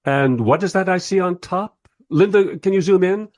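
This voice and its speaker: An actor playing a polite, squeamish documentary interviewer who narrates in a hesitant, wry manner in a radio play. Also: male voice, sits high, normal speed, no room sound, clean studio sound, natural voice. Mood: polite, flustered.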